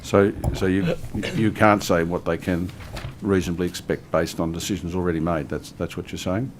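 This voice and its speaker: A man's voice: deep voice, flat delivery, moderate pace, background noise. An Australian man on a parliamentary committee pressing a minister with questions, often repeating words as he starts.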